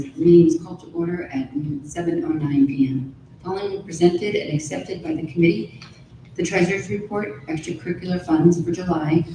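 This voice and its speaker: A woman formally reading a list of finance committee items at a school board meeting. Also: dark tone. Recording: echoey room from far off, faint rumble.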